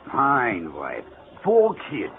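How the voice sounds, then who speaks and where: A man's voice: medium pitch, wildly swooping pitch, drawn-out words, vocal fry, full character voice. Tinny old-radio indignant night watchman. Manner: broken English, protesting. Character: put-upon foreign-born night watchman, indignant working man. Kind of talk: 1940s radio acting. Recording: tinny, noisy 1940s radio recording.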